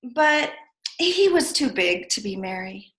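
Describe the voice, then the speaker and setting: Animated woman reading a children's story. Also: fairly high pitch. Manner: expressive, deliberate storytelling. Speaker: preschool storyteller. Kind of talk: children's story read-aloud. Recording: echoey room.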